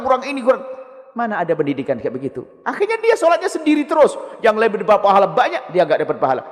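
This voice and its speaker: A male preacher giving a sermon, animated and humorous, recorded with long hall reverb. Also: on the high side. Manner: animated, humorous, acts out quotes.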